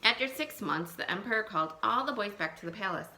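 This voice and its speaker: Creaky-voiced woman reading aloud to children. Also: medium pitch, fast, heavy background noise. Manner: expressive, thinking aloud with questions to young listeners. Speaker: elementary school teacher. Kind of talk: picture-book read-aloud with asides to children.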